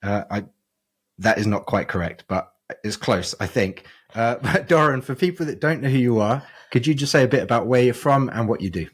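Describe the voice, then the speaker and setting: A man hosting a podcast interview, relaxed and a little jokey. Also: mid-range voice, brisk, breathy edge. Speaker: podcast host.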